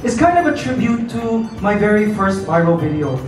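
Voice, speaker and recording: Brief: playful Canadian male emcee-singer in his 30s, high voice, distant in a booming hall. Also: very animated, normal speed. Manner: upbeat, chatty, playful with the crowd. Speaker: vlogger and stage performer. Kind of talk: emceeing and singing at a party. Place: large indoor event hall with a crowd. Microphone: handheld mic, filmed from the back of the hall. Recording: echoey hall from far off with loud music.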